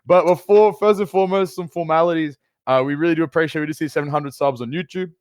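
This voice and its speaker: A man with a slightly high voice hosts a casual podcast with a lot of energy and slang. He laughs often. The sound is dry and close, with no room to it. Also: fairly even intonation, fast talker, dry recording. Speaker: podcast host. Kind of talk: casual chat.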